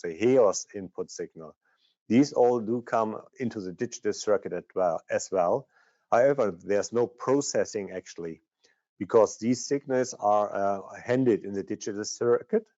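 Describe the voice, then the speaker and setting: Low, mellow-voiced man presenting, slightly muffled. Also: no echo. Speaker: product manager presenting. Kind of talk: technical product training presentation.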